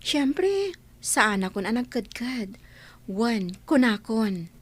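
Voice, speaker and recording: A woman with a Filipino accent playing a grateful, sweet young working student in a radio drama, with expressive delivery. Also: a little high, slow pace, full character voice. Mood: happy, grateful. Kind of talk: Ilocano radio drama acting.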